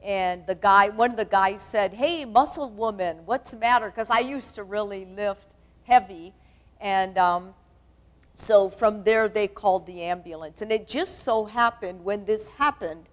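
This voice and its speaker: A middle-aged woman with a booming voice, a therapist giving a chatty, candid talk to a church conference. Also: measured pace. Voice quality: loud, booming voice. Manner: chatty, candid, self-deprecating. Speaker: guest speaker, sex therapist. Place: church stage.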